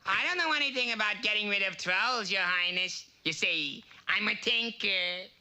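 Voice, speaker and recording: A woman's voice: medium pitch, normal speed, pushed and bright. A woman voicing a naive, timid little tinker boy in a comic cartoon. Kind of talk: comic cartoon character acting.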